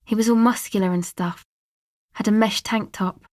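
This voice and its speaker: A woman's voice: medium pitch, steady tone, moderate pace, a little mellow, no echo, clean studio sound, lightly acted. An actress voicing a laid-back, guarded young woman from a dance music crowd, speaking casual, slangy video game dialogue with an offhand manner. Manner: offhand, slangy, hesitant asides.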